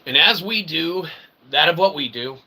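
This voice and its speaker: A man's voice: a little high, expressive, normal speed, gravelly, bright, forceful tone, low-quality recording. A man delivering a tongue-in-cheek, rambling philosophical monologue as a channel host, in wordy, convoluted phrasing with frequent check-ins.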